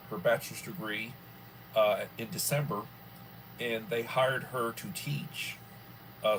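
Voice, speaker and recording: A man in his early sixties hosting a casual livestream from home, rambling and filling pauses often. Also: medium pitch, fairly bright tone, a little hiss or hum, rough recording. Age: 60s. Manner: rambling, with many fillers. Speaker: livestream host.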